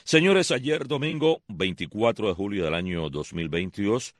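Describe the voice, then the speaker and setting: A man's voice: on the low side, lively intonation, normal speed. A man presenting the morning sports segment on the radio, in an emphatic announcer style. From Cuba. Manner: emphatic, declaiming announcer delivery.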